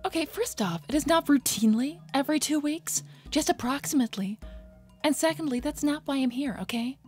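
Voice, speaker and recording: A woman's voice: high voice, lively intonation, a little fast, darkish tone, soft background music. A woman playing a flirty, sassy, coy adult bat thief in a fan-made cartoon. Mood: defensive. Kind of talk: fan-made animated series voice acting.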